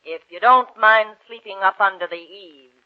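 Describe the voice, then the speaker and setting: A woman's voice: mid-range voice, moderate pace, close to an everyday voice. An actress in a 1940s radio drama playing a wary, scornful Italian landlady, speaking with dramatic emphasis. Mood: grudging. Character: wary, brusque Italian landlady in old Padua. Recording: dull, lo-fi 1940s radio recording.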